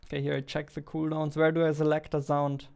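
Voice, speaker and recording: A man in his twenties, a game developer, casually thinking aloud in a halting mutter as he works at his desk with a headset on. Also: fairly high pitch, monotone, gravelly, darkish tone, clear, resonant tone. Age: 20s. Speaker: game developer, host of a devlog. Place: indoors at a desk.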